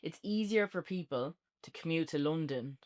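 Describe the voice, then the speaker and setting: An Irish man with a fairly high voice for a male speaker. He talks in a casual, unscripted way, like a podcast co-host bantering through a review. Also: animated, moderate pace.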